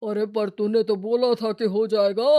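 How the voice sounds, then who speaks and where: A woman acts out an easygoing middle-aged parent in a cartoon moral story. The speech is plain and homely, medium in pitch and recorded dry. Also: flat delivery, measured pace, dark tone, dry recording, close to an everyday voice. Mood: dismayed. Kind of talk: children's moral story character acting.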